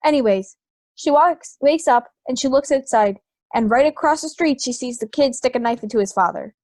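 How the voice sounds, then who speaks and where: A woman's voice: high-pitched, normal speed, a little mellow, no echo. A woman playing a stubborn, hot-headed, prejudiced juror in an amateur audio drama. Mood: insistent.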